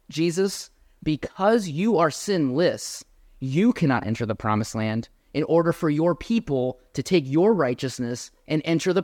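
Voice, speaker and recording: A man's voice: medium pitch, no echo, studio quality. Man preaching an earnest sermon. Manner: earnest, emphatic, addresses the congregation directly. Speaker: pastor.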